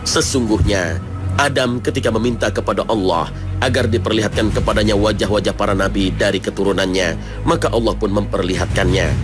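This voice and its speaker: A man narrating a scripted Islamic television programme in a measured, formal, declamatory way. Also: fairly low pitch. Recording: music behind the voice. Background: loud background music.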